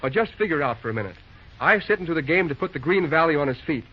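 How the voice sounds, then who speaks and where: Husky, breezy young railroad man. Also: a little high, animated, racing. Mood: troubled. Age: young adult. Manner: slangy, breezy. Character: folksy, easygoing, gallant young railroad boss. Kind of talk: 1940s radio drama acting. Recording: muffled old radio recording.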